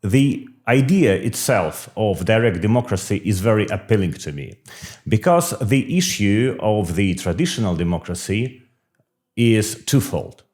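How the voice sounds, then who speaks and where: A middle-aged man from Russia, a political candidate explaining his views in a measured way in a podcast interview, pausing often on fillers. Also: lowish voice, expressive, darkish tone.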